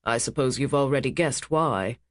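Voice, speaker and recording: A woman voicing a big, cheerful naval commander who talks loudly and jokes wryly, in a dry close recording. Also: very deep voice, normal speed, dry recording, voice pushed down. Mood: wry, joking. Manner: loud, hearty and wry.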